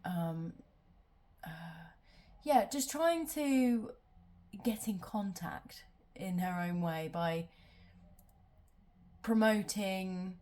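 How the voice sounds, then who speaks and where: A woman's voice: medium pitch, darkish tone. A woman doing a casual tarot reading at home, sounding nasal from a cold and rambling with many ums. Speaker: tarot reader.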